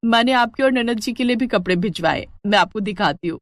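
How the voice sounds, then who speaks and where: A sweet young daughter-in-law played by a woman storyteller, polite and obliging. Her voice is lively and recorded dry, as in a storybook drama. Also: fairly high pitch, brisk, no echo.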